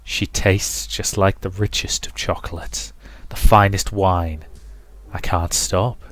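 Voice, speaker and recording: A man voicing a seductive, obsessive male incubus in a visual novel, hushed and savouring each word. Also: low-pitched, steady tone, slow pace. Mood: ravenous, savouring. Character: seductive ravenous male incubus, breathy, gloating, obsessive lover. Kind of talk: dramatic visual novel reading.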